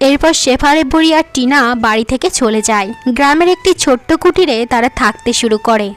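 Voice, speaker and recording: A woman's voice: high-pitched, expressive, fairly quick, soft background music. A woman narrating a cartoon folk tale in plain storytelling style. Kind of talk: scripted narration.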